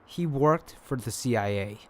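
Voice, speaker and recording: A man's voice: medium pitch, lively intonation, normal speed, natural voice. A man playing a driven, guarded undercover agent in an audio crime drama. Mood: guarded, grave. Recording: faint music behind.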